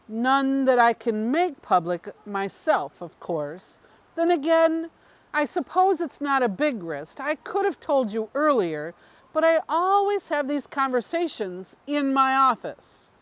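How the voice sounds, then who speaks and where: A woman voicing a secretive, persuasive male politician in an audiobook, with measured, rhetorical phrasing. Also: sits high, big pitch swings, no echo. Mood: guarded, confiding. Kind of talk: audiobook character dialogue.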